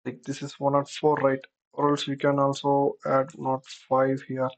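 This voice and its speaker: A software tutor giving an unscripted screen-recorded tutorial, explaining step by step while thinking aloud. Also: medium pitch, monotone, measured pace.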